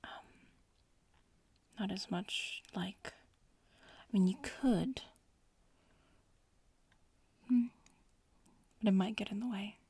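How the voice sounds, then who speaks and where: Woman playing a breathy, nonchalant young witch-in-training. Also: medium pitch, slow pace, long pauses, mellow, no room sound, heavily acted. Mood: nonchalant, explaining. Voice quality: breathy whisper mixed with creaky murmur. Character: casual young witch-in-training, bratty schoolmate. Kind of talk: ASMR roleplay.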